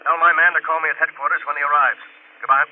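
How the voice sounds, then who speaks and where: Actor as a gruff police inspector, tinny old radio. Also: male voice, fairly high pitch, level tone, fast talker, natural voice. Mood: urgent, commanding. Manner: brusque, colloquial tough-cop delivery. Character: gruff, skeptical, hard-boiled male police inspector. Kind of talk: 1940s radio drama acting. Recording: noisy, tinny 1940s radio recording.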